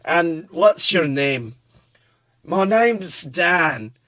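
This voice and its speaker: A man playing a drunk fan in his twenties with slurred, drawn-out speech. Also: a little high, expressive, fairly bright tone, voice pushed up, full character voice. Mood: drunk, fawning. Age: 20s. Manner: drawn-out, stoned-sounding delivery.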